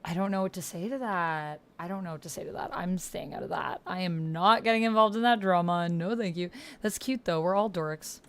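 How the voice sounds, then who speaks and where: A woman's voice: on the low side, fairly quick, hardly any pauses, fairly bright tone. A woman hosting a roleplaying game, chatting casually and expressively in slangy, informal language as she plays along. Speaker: host playing a text-based roleplaying game. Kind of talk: casual chat while playing along with typed roleplay.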